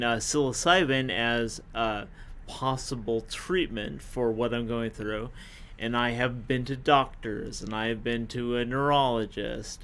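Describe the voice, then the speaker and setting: A man of fifty, a podcast guest, telling his own story in a casual, halting way with many fillers and self-corrections. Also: mid-range voice, fairly bright tone, slight background noise. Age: 50s.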